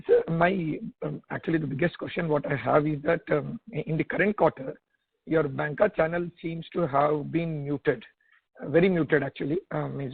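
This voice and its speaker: A man with an Indian accent asking questions as an analyst on a conference call, halting and repeating his words over a phone line. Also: a little high, creaky, slightly rough. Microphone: telephone conference call. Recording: tinny narrowband phone line.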